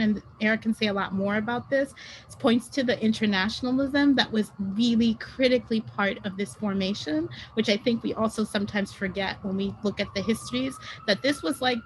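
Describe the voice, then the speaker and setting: A woman's voice: on the high side, moderate pace, slight background noise, poor audio. A woman whose first language is French, speaking as a guest on an online panel webinar in a conversational way with many ums and self-corrections, over a video call.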